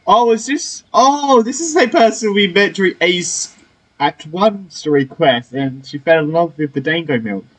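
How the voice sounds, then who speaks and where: A man's voice: sits high, expressive, unhurried, a bit bright, rough recording. A man giving casual playthrough commentary as a gaming streamer. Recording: game music behind the voice.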